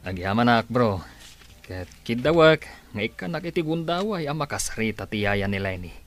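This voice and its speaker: A man's voice: mid-range voice, big pitch swings, moderate pace, natural voice. A man voicing a courteous, earnest young suitor in a radio drama.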